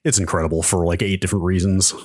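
A man's voice: low voice, steady tone, rapid-fire, a little mellow, slightly rough, no echo, studio quality. A man co-hosting a podcast, telling a story in a rambling way with lots of fillers. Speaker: podcast co-host. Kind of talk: casual chat.

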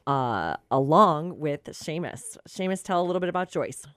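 Low-voiced woman hosting radio, dry sound. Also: lively intonation, moderate pace, fairly bright tone, no echo. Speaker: radio show host. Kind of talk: live radio show talk with sponsor reads.

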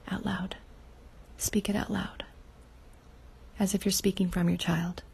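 A woman leading a guided inner-child meditation, speaking softly and slowly, often in a half whisper. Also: mid-range voice, vocal fry, husky.

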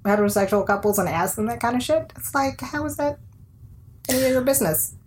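A woman's voice: fairly high pitch, expressive, quick pace, slight background noise. A woman co-hosting a podcast, talking candidly and wryly, often acting out what others said. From American South. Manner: candid, wry, acts out quoted remarks. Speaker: podcast co-host. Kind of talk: casual conversation.

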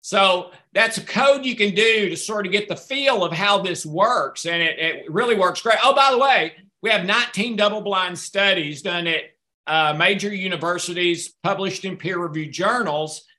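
A man's voice: high-pitched, moderate pace, bright, forceful tone. A man answering audience questions in a casual session, rambling a little and poking fun at himself. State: a little hard of hearing. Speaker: guest speaker answering questions.

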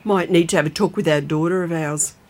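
An actress playing a stubborn, gullible older grandmother in a comic audio drama, husky-voiced and full of indignant questions. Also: sits low, fairly quick. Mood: concerned.

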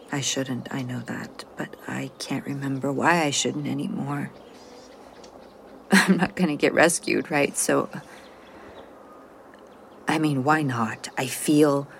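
An actress playing a frightened, lonely astronaut stranded on another world in an audio drama, speaking in a halting, intimate way. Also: female voice, low-pitched, creaky, a little hiss or hum, exaggerated voice. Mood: weary, uneasy. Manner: halting, intimate, drifting into whispers. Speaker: mission specialist in an audio drama. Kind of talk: modern audio drama acting, recorded log monologue.

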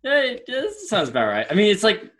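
Laid-back young man, halting speech. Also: high voice, huge pitch swings, fairly bright tone. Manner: loose, halting, swears casually. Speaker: podcast co-host. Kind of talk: casual chat.

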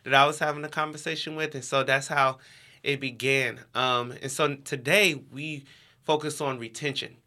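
Interview guest: American male, young adult, crisp bright timbre, informal conversational delivery. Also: medium pitch. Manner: informal, heavy use of "like". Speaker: interview guest, education nonprofit co-founder.

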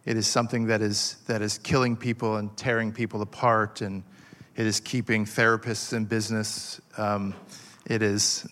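A man in his forties preaching a passionate sermon, halting with fillers and restarts as he builds his point. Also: fairly low pitch, fairly bright tone. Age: 40s. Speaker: pastor.

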